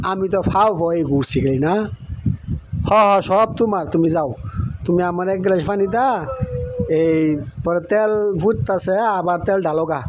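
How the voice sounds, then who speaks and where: A man playing an excitable, teasing village husband in a cartoon story, chatty and emphatic, with a rural Bangladeshi accent. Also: sits high, noisy background, natural voice. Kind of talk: Bengali cartoon story voice acting.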